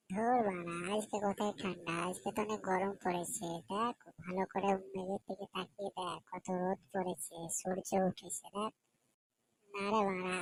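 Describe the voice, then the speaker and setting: A woman's voice: medium pitch, natural voice, poor audio. Woman voicing taunting cartoon village boy. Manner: taunting, argumentative, colloquial. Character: bickering, boastful village boys, cheeky childhood friends. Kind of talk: comic cartoon dialogue.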